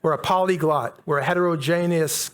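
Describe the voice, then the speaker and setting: A man's voice: a little high, a little fast. Emphatic North American man lecturing. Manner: conversational, emphatic, repeats key words. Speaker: speaker giving a public talk. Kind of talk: lecture to a live audience.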